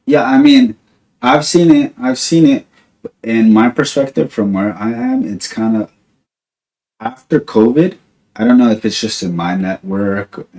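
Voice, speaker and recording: A man's voice: mid-range voice, animated, measured pace, darkish tone. A young man from New Jersey hosting a podcast, talking in a rambling, laid-back way with lots of "like" and "dude". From New Jersey, USA. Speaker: podcast host. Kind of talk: casual chat.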